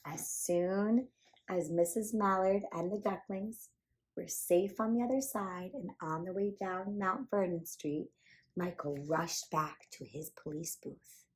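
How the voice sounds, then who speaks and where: A woman hosting a children's storytime, reading a picture book aloud with animated, playful emphasis. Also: lowish voice, a little slow, poor audio. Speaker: children's storytime reader and host.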